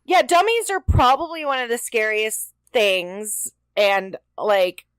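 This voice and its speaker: A woman's voice: a little high, slow pace, clean tone, no echo, poor audio. Woman chatting in a very dry recording. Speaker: podcast co-host. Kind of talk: casual chat, TV episode discussion.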